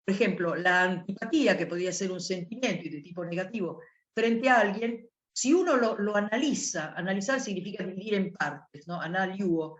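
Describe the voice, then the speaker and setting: A woman with an Italian accent delivers an academic lecture in a thoughtful, explanatory way, often pausing to rephrase. Her voice sits in a middle register. The sound carries a noticeable room echo. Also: mid-range voice. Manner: thoughtful, restarts phrases while explaining. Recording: echoey room.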